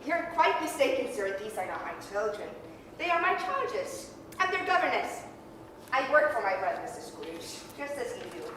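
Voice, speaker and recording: A woman acts a genteel young governess in an old-time radio play. Her voice is high, polite and playfully teasing. It sounds a little distant, with heavy hall echo. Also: big pitch swings, normal speed, a bit bright. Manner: polite, playful, fussing over children. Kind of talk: 1930s radio drama staged reading. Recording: echoey hall, distant, faint noise.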